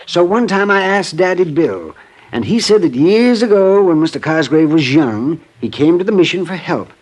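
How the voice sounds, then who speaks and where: An actor playing a genial, naive, tipsy old Bowery drifter in an old-time radio drama, rambling and stammering with a childlike chuckle. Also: male voice, a little high, big pitch swings, normal speed, a little mellow. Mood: rambling, admiring.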